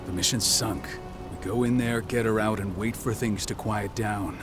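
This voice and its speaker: An actor voicing an urgent, commanding comrade who gives terse, authoritative mission briefings in a video game. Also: male voice, fairly low pitch, normal speed, over music, natural voice. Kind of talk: video game cutscene acting.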